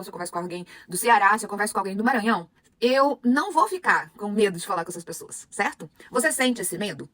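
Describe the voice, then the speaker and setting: Brazilian woman, young adult, language teacher, fast and animated, dry close sound. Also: medium pitch, no echo. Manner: animated, rhetorical questions to the audience. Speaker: Spanish teacher. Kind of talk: motivational lesson to followers.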